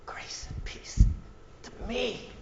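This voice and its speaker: Preacher with a Minnesota accent. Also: male voice, takes it slowly, whispered. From central Minnesota. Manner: dramatic, swings from loud to hushed, acts out quotes. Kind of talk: sermon. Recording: echoey room, muffled sound.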